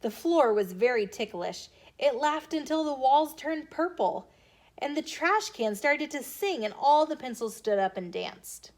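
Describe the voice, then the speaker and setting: An American woman reading a children's storybook aloud in an expressive, animated way as a storytime host. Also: high-pitched, unhurried. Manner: animated, emphatic character dialogue.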